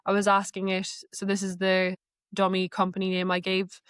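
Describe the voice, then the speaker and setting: Woman talking through software, dry close sound. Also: mid-range voice, completely flat delivery, moderate pace, clean tone, no echo. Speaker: guest, AI knowledge manager. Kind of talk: conversational software walkthrough.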